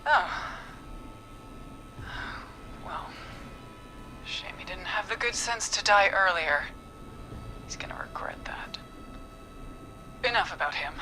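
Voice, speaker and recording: Bitter, unstable young woman for game drama: measured pace, breaking into whispers, music clearly underneath. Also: mid-range voice, animated, rough recording. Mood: bitter, menacing. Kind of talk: video game voice acting.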